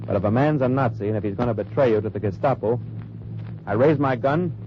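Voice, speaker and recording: An actor playing a tough wartime undercover agent, narrating in clipped, hard-boiled lines in an old radio drama. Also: male voice, medium pitch, fast, natural voice. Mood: grim. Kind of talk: 1950s radio drama acting. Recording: dull noisy old radio recording.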